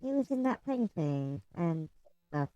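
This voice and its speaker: A woman's voice: on the low side, big pitch swings, measured pace, dark tone, no echo. A woman taking part in a tabletop roleplaying game as a player, speaking in casual chat and light roleplay. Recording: dull, dry lo-fi audio.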